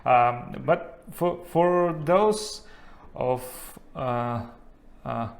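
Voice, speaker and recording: A man's voice: mid-range voice, drawn-out words, gravelly. A man interviewing a guest, not a native English speaker, searching for words with many fillers. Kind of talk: interview questions.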